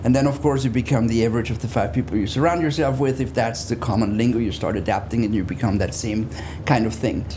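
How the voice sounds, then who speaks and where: A man's voice: mid-range voice, steady tone, fast, few pauses, background noise. A man, talking conversationally as a guest in an interview. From Austria. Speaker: interview guest, business therapist.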